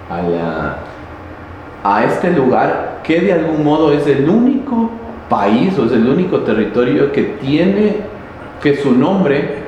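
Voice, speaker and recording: A man explaining local history in an interview, pausing often with fillers and restarts. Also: mid-range voice, a little mellow, muffled recording. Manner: halting, many fillers and restarts. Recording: very echoey, noisy, reverberant space.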